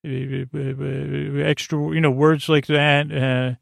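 A man hosting a bedtime podcast in a creaky voice, meandering soothingly through halting false starts and tangents. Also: on the high side, little pitch movement, dry recording. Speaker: host of a bedtime sleep podcast. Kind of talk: rambling, soothing bedtime monologue.

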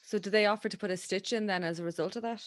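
A woman's voice: mid-range voice, very fast. A woman interviewing someone in a conversational style, asking questions and responding to what she hears.

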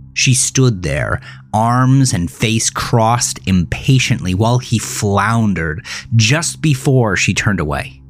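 A man reading a scripted horror thriller story in a measured, deliberate way. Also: deep voice. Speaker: narrator. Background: music.

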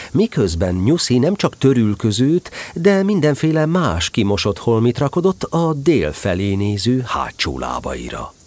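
Male narrator for a children's audio drama: low, husky, lively and expressive storytelling.

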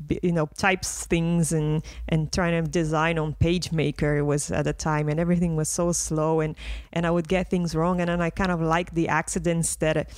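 A woman's voice: low voice, level tone, moderate pace, darkish tone, dry recording. A middle-aged Brazilian woman whose accent mixes Brazilian and South London, chatting as an interview guest, laughing often and restarting her phrases. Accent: Brazilian Portuguese with South London. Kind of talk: casual interview chat.

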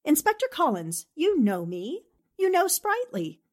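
A woman playing a brisk, determined newspaperwoman sleuth in a 1940s-style radio drama, with a commanding manner. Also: high voice, huge pitch swings, exaggerated voice. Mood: firm, persuasive. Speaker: actor in an amateur radio drama re-creation.

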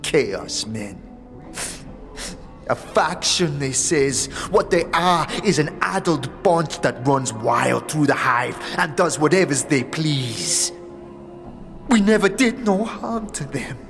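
A woman's voice: deep voice, expressive, takes it slowly, faint music behind, full character voice. A woman playing a bitter, grieving street woman in a fantasy audio drama, with scornful, slangy, ungrammatical speech.

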